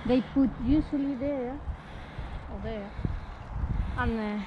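Female vlogger, outdoors, brief halting remarks, muffled with clear street noise. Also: fairly high pitch. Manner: brief, halting remarks as a non-native speaker. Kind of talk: casual walking tour chat. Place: outdoors in a hilltop castle yard. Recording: dull, noisy outdoor street recording.

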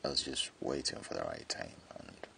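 A man voicing a jealous tsundere friend confessing hidden love, speaking haltingly in an intimate boyfriend ASMR roleplay. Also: lively intonation, pushed and bright, a little hiss or hum, exaggerated voice. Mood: earnest, confessing. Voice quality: very deep, gravelly. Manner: hesitant, halting, with stammered repeats.

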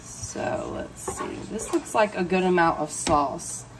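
A woman's voice: on the low side, very animated, takes it slowly. A woman, chatting viewers through a cooking tutorial step by step. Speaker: cooking vlogger. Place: hotel room. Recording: distant mic with clear room noise.

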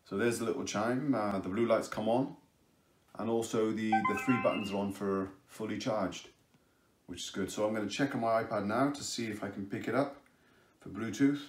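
A man's voice: lowish voice, fairly even intonation, normal speed. A man casually walking through the buttons of a speaker as a product reviewer, explaining step by step with fillers and restarts. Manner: raises his voice over loud music during the sound test. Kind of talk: casual unboxing demo. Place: indoors at a table, camera overhead. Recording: echoey room, music playing. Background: speaker playing music.

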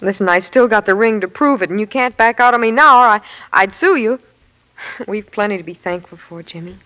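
Woman playing loyal young fiancée, American, medium-pitched, earnest pleading, 1940s radio drama. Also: brisk, pushed and bright, lightly acted, rough recording. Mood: tender, reassuring. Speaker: actress in a radio play.

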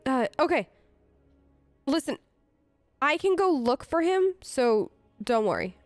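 A woman's voice: high-pitched, sing-song, takes it slowly, no echo, faint music behind. A woman role-playing through a video game, speaking chattily in informal, in-character replies. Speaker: let's player.

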